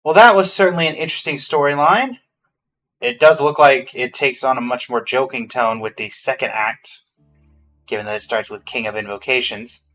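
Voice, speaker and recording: A man's voice: medium pitch, normal speed, fairly bright tone. A man giving casual, off-the-cuff reaction commentary as he plays through a game story. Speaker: gamer reacting to a story quest.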